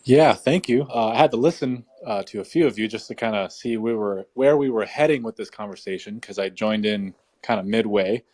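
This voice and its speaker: A man sharing his year in a casual online chat, talking in a relaxed, easygoing way with many fillers. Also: fairly low pitch, brisk, few pauses. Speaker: guest speaker.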